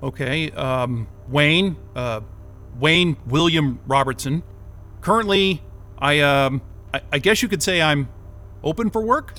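An uneasy job candidate in an audio drama, confused and evasive. His voice is fairly high and sharp-edged, and he talks slowly and haltingly. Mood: nervous. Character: nervous, defensive, disoriented male job interviewee. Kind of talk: naturalistic audio drama acting.

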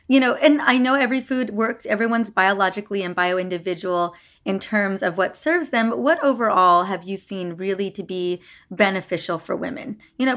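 A woman hosting a podcast interview, who asks questions and reacts with enthusiasm. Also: medium pitch. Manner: enthusiastic, gushing. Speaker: podcast host.

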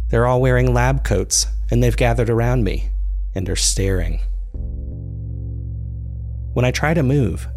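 A man reading scripted first-person dramatic narration in a measured storytelling manner. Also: mid-range voice, vocal fry, darkish tone. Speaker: narrator of a serial fiction podcast.

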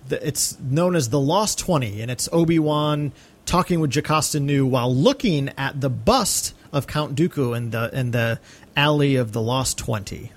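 A man's voice: mid-range voice, moderate pace. A man co-hosting a podcast, discussing film casually in an enthusiastic, emphatic way. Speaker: podcast co-host.

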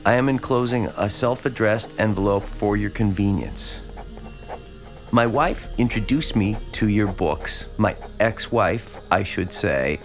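A lonely, abandoned small-town man in an audio drama, obsessed with horror fiction. His low voice is gravelly and very slow. He speaks earnestly and haltingly, with an awkward familiarity. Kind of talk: dramatized letter read as monologue.

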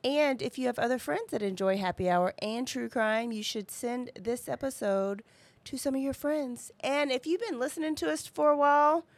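A woman's voice: high voice, normal speed, dry recording. A woman chatting informally and casually as a podcast co-host. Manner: chatty, informal, uses y'all.

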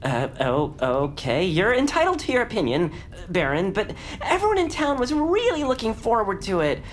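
A woman's voice: mid-range voice, very animated, normal speed, a little hiss or hum, lightly acted. Woman as an earnest, dramatic young boy. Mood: earnest, puzzled. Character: earnest, upbeat boy determined to cheer up town. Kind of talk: audio drama acting.

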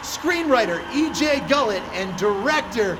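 An actor playing a showy, flippant fan-convention host in an audio drama, glib and full of announcer hype. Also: male voice, very high voice, expressive, measured pace, very noisy. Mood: hyped. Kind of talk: audio drama acting as stage emcee.

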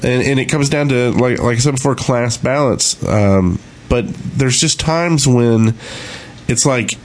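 A man's voice: medium pitch, a little slow, a little mellow. A man chatting casually as co-host of a gaming podcast.

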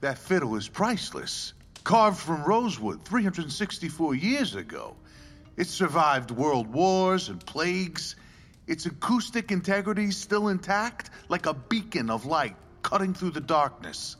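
An actor playing a brash, obsessed American collector with a husky voice and a mocking, skeptical streak in an audio drama. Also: male voice, fairly high pitch, sing-song, measured pace, fairly bright tone, heavily acted. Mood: reverent, intense. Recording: long reverb, faint music behind.

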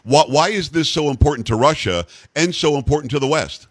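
Brisk male radio host, plain mid-range voice, bone-dry studio sound, blunt and folksy. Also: a little high, no echo. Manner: blunt, folksy, rapid questioning. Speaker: radio talk show host. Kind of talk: political talk radio interview.

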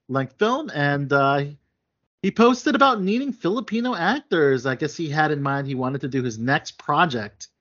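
A man's voice: fairly high pitch, fairly bright tone. A man hosting a casual chat show, talking at length with many fillers and restarts. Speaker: podcast host. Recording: dull lo-fi sound.

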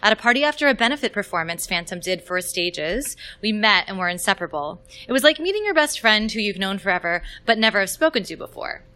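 A woman who performs in musical theatre, reading a scripted personal story aloud in a polished, expressive way. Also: mid-range voice, fast, hardly any pauses.

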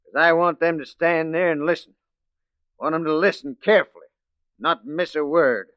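A man plays a crafty American police detective passing as a crook in a vintage radio crime drama. He speaks deliberately and with theatrical command in a fairly high male voice. The old recording is dry and dull. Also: dry recording, close to an everyday voice. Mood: accusing, triumphant. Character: shrewd police detective posing as a crooked forger. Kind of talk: 1940s radio acting.

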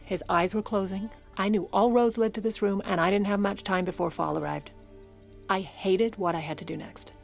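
A woman acting as a protective First Lady in an audio drama. She is composed, clipped and commanding, with a steely edge and a mid-pitched voice. Music runs underneath. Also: fairly even intonation, normal speed, gravelly, close to an everyday voice. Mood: confiding, grim. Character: steely, protective First Lady covering for her ailing husband. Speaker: lead character and narrator.

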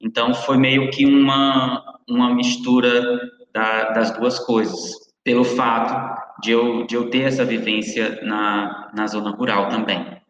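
A man, a novelist guest in an interview, speaking haltingly with many restarts. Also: medium pitch, level tone, a bit bright, dull audio. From interior of Ceará, Brazil. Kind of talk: casual panel interview. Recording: echoey room with long reverb.

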